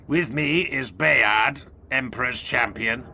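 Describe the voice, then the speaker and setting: A man voicing a proud, solemn space-marine high marshal, ceremonial and declamatory, in a dramatic war story. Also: slow pace, pushed and bright, a little hiss or hum, exaggerated voice. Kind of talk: dramatic audiobook character acting.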